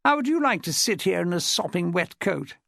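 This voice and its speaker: A man's voice: high-pitched, sing-song, a little fast, creaky, a bit bright, no room sound, exaggerated voice. A man voicing a brash, eccentric man with a posh public-school manner, in a storybook reading.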